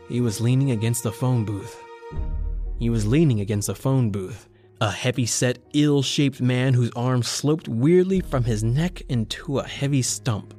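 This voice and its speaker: An actor playing a determined, hard-boiled newspaper reporter, earnest and clipped, in an old-time radio noir style. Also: male voice, medium pitch, a little mellow, lightly acted.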